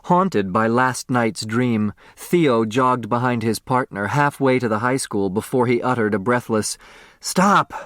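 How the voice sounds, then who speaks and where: Audiobook reader: male, mid-pitched voice, expressive scripted narration of children's fiction, dry studio-like sound. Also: unhurried, hardly any pauses, dry recording.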